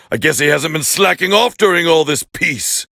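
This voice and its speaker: A man voicing a proud, scornful alien warrior prince as battle commentary in an anime fighting game, with a harsh, gravelly growl and a haughty, clipped delivery. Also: a little high, lively intonation, unhurried, pushed and bright, no echo, clean studio sound, heavily acted. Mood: grudgingly impressed.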